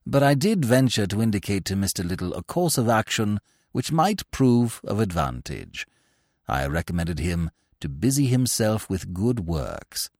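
A man's voice: lowish voice, big pitch swings, normal speed, darkish tone, no echo, clean studio sound, pitched below the performer's own voice. A man voicing a grave, unflappable middle-aged valet who speaks formally and deferentially. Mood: composed.

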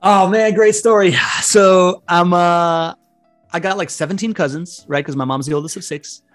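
A man's voice: sits high, fairly even intonation, moderate pace, no room sound, faint music behind. A man in his thirties, a podcast guest, chatting casually and telling rambling stories with many restarts. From Venezuelan family, grew up outside Venezuela. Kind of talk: casual interview chat.

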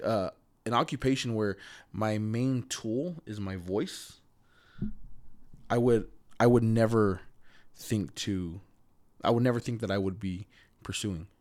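A man's voice: fairly low pitch, darkish tone. A man who stutters, a podcast guest talking earnestly and with emphasis in a casual conversation.

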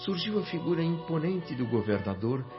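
A man's voice: fairly high pitch, big pitch swings, normal speed. Reverent male narrator, muffled recording. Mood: awed, reverent. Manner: solemn, reverent, literary delivery. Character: reverent male spirit recalling his afterlife experiences. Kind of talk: scripted radio drama narration. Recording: dull lo-fi radio sound with music. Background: music.

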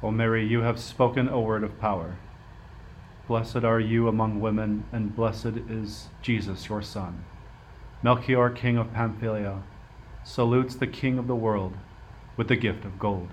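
A man's voice: fairly low pitch, steady tone, measured pace, lightly acted, rough recording. A man playing a stately, reverent king in an amateur radio play, declaiming solemnly. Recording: faint background noise.

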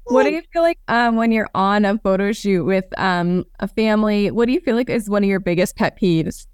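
A woman's voice: medium pitch, expressive, fairly quick, clean tone, dry recording, studio quality. A woman hosting a casual podcast interview, upbeat and encouraging as she agrees with her guest and asks questions. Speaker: podcast host.

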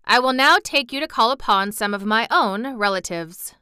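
A proud little bun gentleman with a fussy, gossiping manner that can turn stern, as a woman reader puts him on. His voice sits a bit high and bright, delivered cleanly with a close, dry sound. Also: normal speed, smooth, no room sound. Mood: gossipy. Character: proud fussy male bun, a living pastry.

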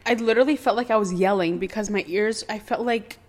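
A woman's voice: mid-range voice, fast talker. A young woman co-hosting a podcast, telling a story in casual chat, laughing often and acting out what people said. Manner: laughs often, acts out quotes. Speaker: podcast co-host.

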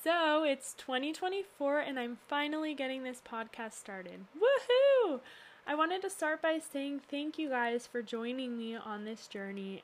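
A woman's voice: on the high side, slight background noise. A woman from the United States hosting a podcast, sharing a personal faith monologue in an earnest, reflective way. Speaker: podcast host.

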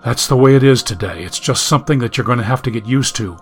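A man reading an audiobook, voicing a calm modern man who steadily reassures a frightened friend. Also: medium pitch, fast, soft background music, pitched below the performer's own voice, natural voice. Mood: reassuring. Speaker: audiobook narrator.

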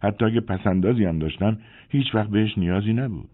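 A man voicing a nervous, fragile young woman in an audiobook, with a husky tone on tinny phone-like audio. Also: lowish voice, pitched above the performer's own voice, natural voice. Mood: anxious. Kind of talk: audiobook character voice.

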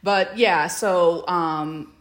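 A woman's voice: fairly low pitch, drawn-out words. A woman co-hosting a podcast in casual chat, with a hesitant, meandering way of talking. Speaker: podcast co-host.

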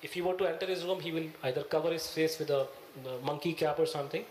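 Punjabi accent guy telling a story in interview, lots of pauses, slightly high voice, roomy echo. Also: a little hiss or hum. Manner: halting, searching for words. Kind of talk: recounting, conversational.

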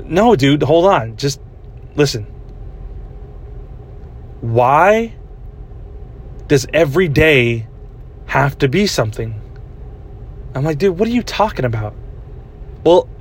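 A man hosting a solo podcast, ranting casually and profanely, rambling while he acts out his own inner dialogue. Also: mid-range voice. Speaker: podcast host.

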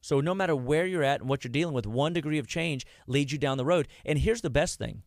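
A man's voice: a little high, quick pace, dry recording, studio quality. A man, giving a rambling, folksy talk about health as a wellness clinic host. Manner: rambling, folksy, loses his train of thought.

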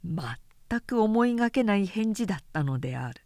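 A woman's voice: mid-range voice, very animated, moderate pace, darkish tone, dry recording, studio quality. A woman reading a literary period story aloud as a scripted narration.

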